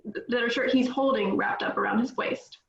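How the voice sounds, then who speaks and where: A woman's voice: fairly high pitch, fairly even intonation, fairly quick, creaky. A woman reading scripted stage directions as narrator at a table read, in roomy, slightly dull audio.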